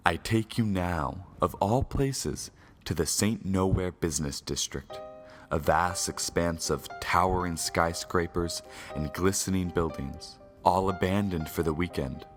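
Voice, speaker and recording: A man with an extremely deep voice narrates a radio serial dramatically. Clear music plays behind him. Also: measured pace.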